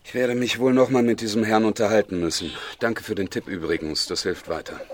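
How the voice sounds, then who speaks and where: An actor playing a dry, wary, sardonic police detective in a naturalistic radio crime drama. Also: male voice, fairly low pitch, fairly even intonation, brisk, noisy background, natural voice. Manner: dry, hesitant, ironic.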